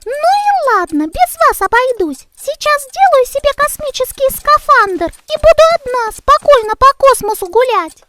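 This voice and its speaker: A playful, easily offended little girl kitten for a children's cartoon. The voice is extremely high and swings widely in pitch. Clicks and noise are clearly heard behind it. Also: big pitch swings, moderate pace. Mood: offended, defiant.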